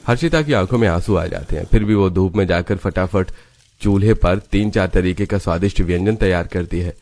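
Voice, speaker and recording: A man's voice: low voice, fairly quick, darkish tone, no room sound. A man narrating a scripted family story in Hindi cartoon style.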